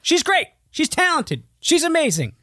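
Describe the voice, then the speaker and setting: A man's voice: very high voice, huge pitch swings, moderate pace, sharp tone. A man co-hosting a podcast in casual comedic banter, talking in a sardonic, teasing, foul-mouthed way. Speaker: podcast co-host.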